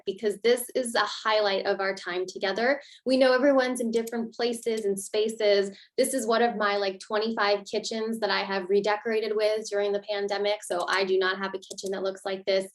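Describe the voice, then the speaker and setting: A woman hosting an online cooking class over a video call, in an upbeat, enthusiastic manner. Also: on the high side. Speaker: host.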